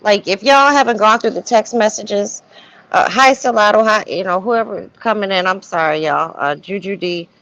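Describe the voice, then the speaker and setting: A woman's voice: medium pitch, normal speed, fairly bright tone, dry recording. An American woman hosting a live stream in a casual, chatty style. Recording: dull lo-fi stream audio.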